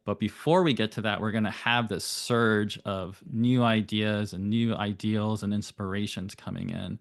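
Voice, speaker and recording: A man speaking as an astrologer guest on a podcast, giving thoughtful, flowing explanations with occasional rapid bursts. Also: fairly low pitch, steady tone, vocal fry, no echo. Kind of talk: explanatory interview talk.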